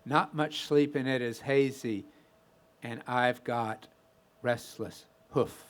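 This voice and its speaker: A man's voice: medium pitch, fairly even intonation, takes it slowly, natural voice. A man telling a comic story as a weary, rambling deer with a folksy, conversational manner. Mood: easygoing, restless. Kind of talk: first-person comic storytelling.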